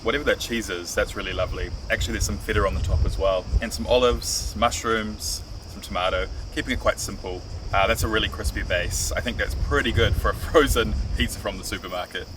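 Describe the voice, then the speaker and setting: A man's voice: fairly low pitch, fast, few pauses, distant mic, noisy background. A man chatting casually to camera as co-host of a travel vlog.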